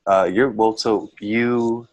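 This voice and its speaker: A man with a low voice talks through feedback on a video call. He is casual and often rephrases mid-thought, and the sound is dry. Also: fairly even intonation, takes it slowly, darkish tone, dry recording. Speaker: manager reviewing a student team's check-in.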